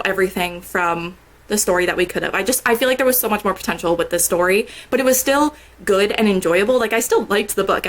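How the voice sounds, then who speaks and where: Chatty young woman reviewing a book. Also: mid-range voice. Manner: chatty, lots of "like" and "I don't know", laughs often. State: congested after a sore throat. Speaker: book vlogger. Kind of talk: casual book review vlog.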